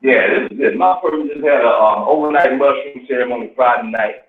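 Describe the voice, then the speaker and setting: A man's voice: medium pitch, brisk. A man in casual group discussion on a remote call, rambling, repeating himself and swearing. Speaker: podcast participant. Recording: distant, echoey, phone-like line.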